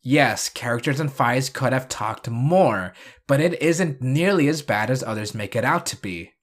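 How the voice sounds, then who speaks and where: A man's voice: medium pitch, expressive, unhurried. A man narrating a scripted video review and recap, with dry, sarcastic asides.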